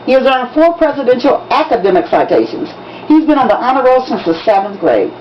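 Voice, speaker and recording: A woman's voice: fairly high pitch, sing-song, fairly quick, pitched above the performer's own voice. A woman voicing a proud male school principal making formal, declamatory announcements for an audiobook-style reading. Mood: proud, announcing. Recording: dull lo-fi recording with background noise.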